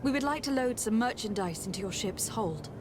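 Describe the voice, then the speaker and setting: A woman playing a diplomatic young noblewoman in a video game, polite, formal and quietly assertive. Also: on the high side, lively intonation, fairly quick, music in the background, lightly acted. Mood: composed. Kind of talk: video game cutscene acting.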